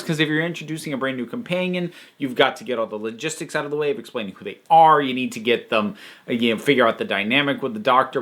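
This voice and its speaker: Guy doing a casual review, kinda high bright voice, really expressive and into it. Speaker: host and reviewer. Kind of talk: casual review monologue to an audience.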